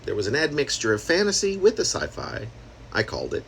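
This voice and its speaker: A man's voice: a little high, wildly swooping pitch, a little fast, gravelly, a bit bright, a little hiss or hum. A man reading his own experimental prose poem aloud in a conversational, wry manner, slipping in asides and rhetorical questions. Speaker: poet reading own work.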